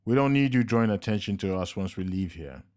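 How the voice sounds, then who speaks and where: A man voicing a slickly dressed, coolly polite and menacing henchman, speaking slow, measured and smug. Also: lowish voice, animated, a little mellow, lightly acted. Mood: smooth.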